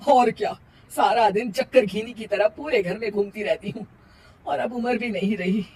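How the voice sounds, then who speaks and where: A woman's voice: mid-range voice, moderate pace, a little mellow, slight background noise, natural voice. A woman playing a tired older mother-in-law in a family cartoon, nagging and sarcastic with emphatic delivery. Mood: weary.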